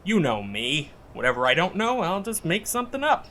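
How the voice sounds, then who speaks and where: A man's voice: high-pitched, fairly quick, slight background noise, heavily acted. A man playing a cocky, wisecracking would-be podcast storyteller in naturalistic audio drama dialogue, with a flippant manner. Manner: flippant, deadpan joking.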